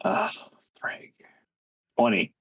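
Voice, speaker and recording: A man's voice: very slow, a bit bright. A man chatting casually as a player in a tabletop roleplaying game.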